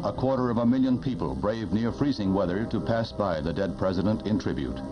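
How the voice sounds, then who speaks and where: Solemn deep-voiced American newsreel man, dull vintage soundtrack. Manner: grave, reverent, measured oratory. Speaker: newsreel narrator. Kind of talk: solemn 1960s newsreel narration. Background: loud music.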